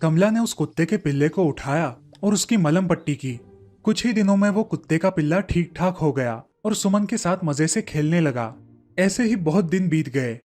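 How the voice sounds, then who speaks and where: A man's voice: fairly high pitch, lively intonation, normal speed. A man narrating a scripted children's story as a storyteller. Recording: faint music under the voice. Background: soft background music.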